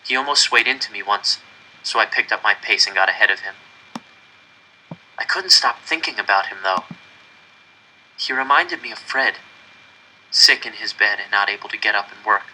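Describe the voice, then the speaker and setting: A man's voice: lowish voice, bright, forceful tone, husky, poor audio. A man reading a first-person story aloud dramatically, with an earnest, intense and emphatic delivery. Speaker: audiobook reader.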